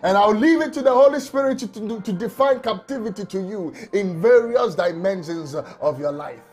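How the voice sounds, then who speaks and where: A man preaching an emotional sermon from a small office on a lapel mic, often shouting and crying out. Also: high voice, expressive, normal speed, faint music behind. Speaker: pastor.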